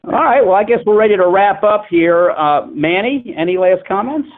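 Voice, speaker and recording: A man's voice: high-pitched, expressive, normal speed. A man casually moderating a press conference call in a wry, bantering way. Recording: tinny phone conference line.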